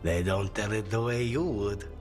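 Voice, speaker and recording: An actor playing a gruff, brooding adventuring companion in a video game. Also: male voice, fairly low pitch, normal speed, a little mellow, big-room sound, soft background music, close to an everyday voice. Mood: subdued, grudging.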